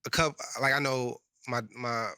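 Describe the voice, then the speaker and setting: A man's voice: medium pitch, fairly even intonation, moderate pace, pushed and bright, smooth, no room sound. A young man from Phoenix, a guest rapper, talking bluntly and emphatically in a casual podcast chat. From Phoenix, Arizona. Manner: blunt, emphatic, swears freely.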